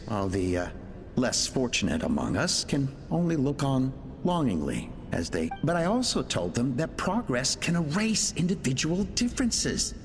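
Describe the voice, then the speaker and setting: An actor playing an earnest, idealistic male inventor dictating research logs in a video game. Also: mid-range voice. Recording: reverberant hall, music underneath.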